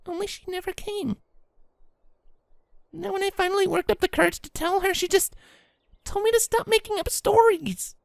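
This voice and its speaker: A woman's voice: very high-pitched, creaky, dry recording, exaggerated voice. A woman voicing a distraught young pony filly, pleading and emotional, in a dramatic audio story.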